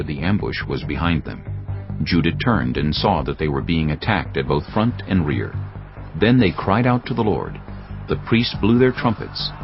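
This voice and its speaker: A man narrating scripture in a measured storytelling style for a dramatized audio Bible. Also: low voice, highs cut off.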